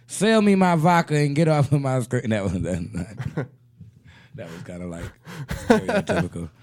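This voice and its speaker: A man with a raspy voice hosting a casual comedic radio show, joking and laughing often in slangy banter. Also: mid-range voice, big pitch swings, normal speed, darkish tone.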